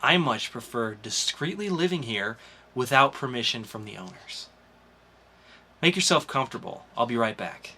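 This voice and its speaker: A man acts out a cool, teasing young woman in a comic book reading. The delivery is coy and casually sarcastic, in a bright, forceful voice. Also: medium pitch, expressive, moderate pace.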